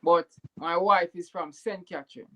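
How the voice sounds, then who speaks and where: A man's voice: sits high, steady tone, moderate pace, sharp tone. A Jamaican man speaking in an earnest, heartfelt way as a guest in a casual chat, sometimes repeating words. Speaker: YouTuber guest.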